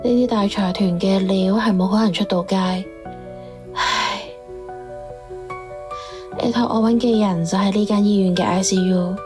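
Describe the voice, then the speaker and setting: A woman's voice: mid-range voice, fairly even intonation, moderate pace, pauses often, natural voice. World-weary woman informant over music, acted out. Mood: resigned. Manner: matter-of-fact, resigned. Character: world-weary female informant reporting on her investigation. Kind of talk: radio drama dialogue.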